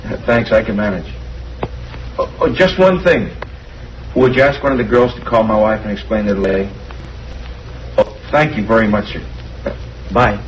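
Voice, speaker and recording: A man's voice: fairly low pitch, normal speed, pauses often, lo-fi sound, lightly acted. An actor playing an evasive, defensive salesman under police questioning in a 1950s radio drama, hesitant and protesting. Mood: polite. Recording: old radio recording, faint noise.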